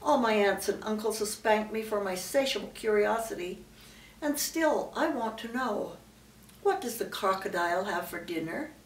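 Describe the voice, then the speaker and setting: A woman's voice: mid-range voice, lively intonation, moderate pace, natural voice. Storybook baby elephant, male, curious and well-mannered, read by an older woman; roomy, distant recording. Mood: earnest, plaintive. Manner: polite, earnest questioning. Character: curious, polite young male elephant child. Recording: roomy, distant mic, faint background noise.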